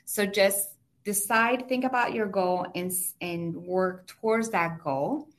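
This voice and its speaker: A woman's voice: medium pitch, slow pace. A woman with a heavy Mexican Spanish accent, a native Spanish speaker, patiently explaining finances step by step as an interview guest.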